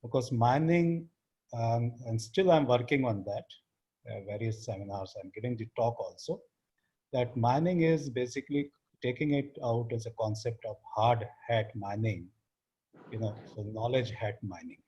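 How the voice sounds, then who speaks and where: A man in his fifties speaks English with an Indian accent, his voice husky and set at an ordinary middle pitch. He talks in a halting way, with fillers, restarts and phrases that trail off quietly. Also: lo-fi sound. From India (Uttar Pradesh). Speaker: interview guest, business founder. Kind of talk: casual interview talk.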